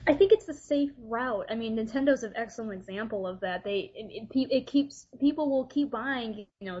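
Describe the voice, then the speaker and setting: A woman's voice: medium pitch, husky. A woman talking casually as a podcast guest, giving her opinions over dull, lo-fi voice chat audio. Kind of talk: casual discussion.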